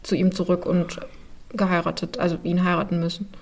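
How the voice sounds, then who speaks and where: A woman's voice: lowish voice, level tone, a little fast. A woman co-hosting a podcast and explaining things conversationally. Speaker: podcast co-host.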